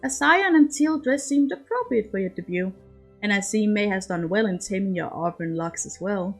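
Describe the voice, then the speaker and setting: A woman's voice: mid-range voice, expressive, normal speed, soft background music. A woman playing a middle-aged countess mother in a storybook reading, genteel and fond, with a drily teasing manner.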